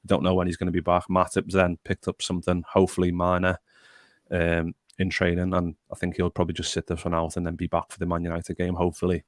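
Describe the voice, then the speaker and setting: A man's voice: sits low, monotone, fast, dry recording, studio quality. A young man hosting a casual podcast chat and reading out viewer comments. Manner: chatty, reads out viewer comments. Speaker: podcast host.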